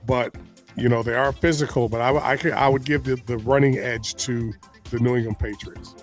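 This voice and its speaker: A man's voice: medium pitch, fairly even intonation, moderate pace, faint music behind. A man co-hosting a sports podcast in casual chat, sounding stuffy and congested from a cold, often repeating words while he thinks. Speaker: podcast co-host.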